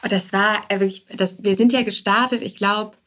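Casting: woman, mid-pitched, candid startup guest, conversational, sprinkles in English business terms. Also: fairly even intonation, normal speed, a bit bright. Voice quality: English business loanwords mixed in. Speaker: interview guest, startup co-founder. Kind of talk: casual interview conversation.

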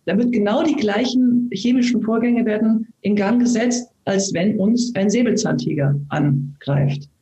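Mellow-voiced German woman, roomy sound. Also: mid-range voice. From eastern Germany. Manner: slow, earnest explaining with frequent restarts. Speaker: actress and talk host. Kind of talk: reflective conversation. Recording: roomy echo.

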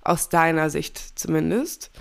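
A woman's voice: sits low, animated, measured pace, creaky. Young woman host with a cold. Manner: chatty, laughs often, self-correcting. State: has a cold. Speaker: podcast host. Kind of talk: casual chat.

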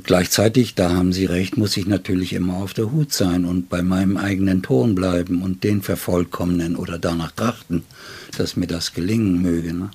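An older man speaks with a very low, rough-edged voice. He is a guest in a literary interview, reflecting slowly and searchingly, pausing to find his words and adding little tag questions. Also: steady tone, a little mellow. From northern Germany. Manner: thoughtful, halting, tag questions.

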